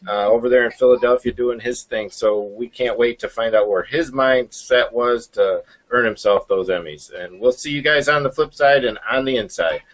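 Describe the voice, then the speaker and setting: A man's voice: mid-range voice, a bit bright, poor audio. A man hosting an online interview show, upbeat and folksy, thanking his guests at length. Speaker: host.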